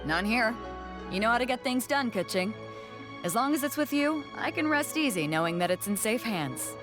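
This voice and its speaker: A woman's voice: fairly high pitch, expressive, moderate pace, music in the background, close to an everyday voice. A woman playing a feisty, breezy seafaring captain who talks casually and bluntly in a fantasy game. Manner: casual, direct. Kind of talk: anime fantasy game voice acting.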